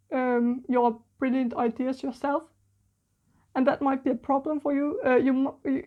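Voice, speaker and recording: A woman's voice: high voice, narrow, tinny audio. A woman giving a channeled tarot reading, for whom English is a third language, speaking hesitantly with many fillers and restarts. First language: not English. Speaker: tarot reader.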